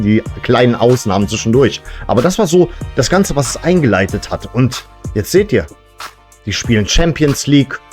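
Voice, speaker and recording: Man, medium pitch, casual satirical monologue, tongue-in-cheek, fan show host, music behind. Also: a little mellow. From Serbian family background. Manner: tongue-in-cheek, joking asides.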